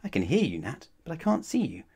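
A man's voice: mid-range voice, wildly swooping pitch, brisk, creaky, darkish tone, slightly rough, pitched above the performer's own voice, heavily acted. A man voicing a stubborn, teasing young girl who insists with a straight face. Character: young girl, stubborn, teasing, flatly refusing her brother.

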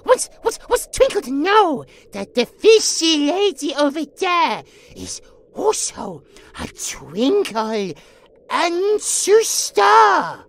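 A woman's voice: high voice, a bit bright, full character voice. A woman voicing a playful possessed little boy in an audio drama, with babyish, stammering, third-person talk. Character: childlike, playful possessed boy, easily delighted.

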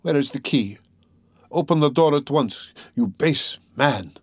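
A man's voice: medium pitch, expressive, a little slow, slightly rough, dry recording, voice pushed up. A man voicing a frightened, defiant young woman in an audiobook, urgent and indignant. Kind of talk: dramatic audiobook character reading.

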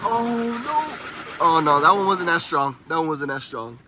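A man's voice: fairly high pitch, lively intonation, measured pace, background noise. A young man vlogging from inside his truck in a car wash, talking to viewers with nervous yelps and laughs on a handheld phone. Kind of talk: casual vlog commentary. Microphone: handheld phone camera.

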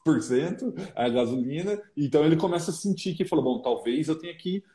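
A man in his thirties with a Brazilian accent, hosting a casual podcast interview and asking questions quickly from a home office. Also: a little high, expressive, warm tone. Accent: Brazilian Portuguese. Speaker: podcast host. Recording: echoey room audio.

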